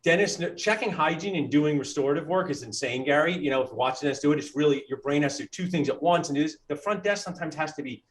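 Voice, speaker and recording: A man, a dentist hosting a casual interview, talking in an animated way and jumping in with quick asides. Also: fairly high pitch. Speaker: dentist and podcast host.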